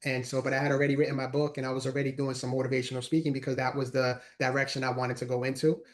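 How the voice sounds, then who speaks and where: A man's voice: mid-range voice, flat delivery, very fast. A man in his forties, a guest speaker telling his story in an earnest, repetitive way in a casual interview. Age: 40s. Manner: earnest, repeats words while talking. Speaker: guest, motivational speaker and author.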